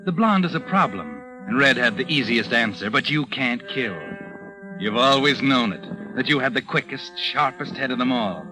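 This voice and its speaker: A man's voice: mid-range voice, close to an everyday voice, poor audio. A man acting as a sardonic, all-knowing narrator of an old radio mystery, taunting and insinuating as he addresses a criminal. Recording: old radio recording, loud music behind. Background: loud dramatic music.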